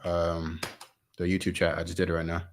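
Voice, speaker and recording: A man's voice: deep voice, monotone, fairly quick. A man giving blunt, slangy opinions in a casual sports live-stream chat. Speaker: co-host or guest on a sports fan stream.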